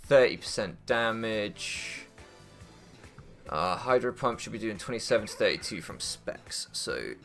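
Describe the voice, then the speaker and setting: A man's voice: fairly low pitch, lively intonation, unhurried, creaky, a bit bright, faint music behind. A young man doing casual strategy game commentary, thinking aloud and deliberating as he goes, with hesitations. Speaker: gaming YouTuber.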